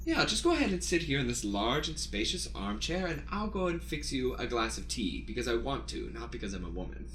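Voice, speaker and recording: An actor playing a polite, dutiful, quietly proud female lab assistant, prim and deadpan, in an improvised comedy radio play. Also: mid-range voice, fairly quick, hardly any pauses. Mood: polite, welcoming. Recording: roomy echo, light background noise.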